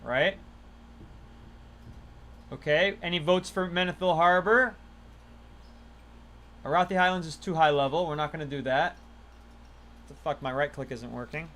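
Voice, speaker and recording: A man's voice: fairly high pitch, a little slow, pauses often, sharp tone. A man casually chatting on a gaming livestream, playful and talkative, thanking viewers as he plays. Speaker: livestream gamer.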